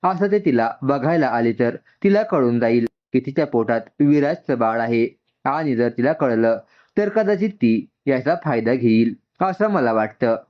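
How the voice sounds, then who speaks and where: A man's voice: mid-range voice, lively intonation, unhurried, close to an everyday voice. A man voicing a worried, apologetic young career woman in a told story, polite and hesitant. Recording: dull, muffled lo-fi sound.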